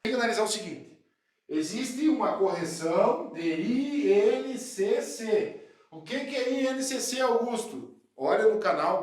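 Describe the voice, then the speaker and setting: A man's voice: sits high, darkish tone. A man from southern Brazil with a cold, explaining real estate deals to fellow brokers, chatty and often checking in with his listeners. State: has a cold. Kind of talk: explanatory talk to camera. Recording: very echoey room.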